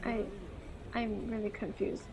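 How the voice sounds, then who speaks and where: A woman's voice: a little high, level tone, a little slow, a little mellow, slight background noise, low-quality recording. A woman playing a story game, thinking aloud in a tentative, musing way as she comments. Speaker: video game player reading dialogue aloud. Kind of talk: let's play commentary and dialogue read-aloud.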